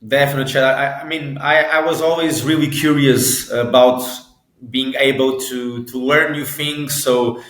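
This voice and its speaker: A man's voice: mid-range voice, little pitch movement, normal speed, a bit bright. Hesitant man in a roomy space. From Portugal. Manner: hesitant, many restarts and repeated words. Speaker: guest, football agent and sports lawyer. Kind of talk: conversational interview.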